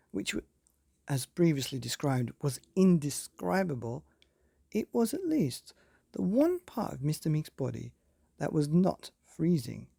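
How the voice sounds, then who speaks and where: A man hosting and reading a children's bedtime story, with theatrical, dramatic emphasis and drawn-out words. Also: on the high side, gravelly, warm tone, husky, no room sound, clean studio sound. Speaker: podcast host and story reader.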